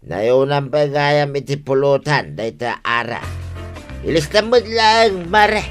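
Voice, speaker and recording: A man with an Ilocano Filipino accent playing an easygoing, hard-drinking village husband in a radio comedy drama, folksy and excitable. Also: a little high, takes it slowly, soft background music. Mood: casual, cajoling.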